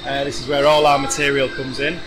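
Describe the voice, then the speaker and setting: A man's voice: medium pitch, little pitch movement, brisk, rough recording. A man in his thirties explaining a process as a guide inside a large working factory. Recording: music behind, factory hum.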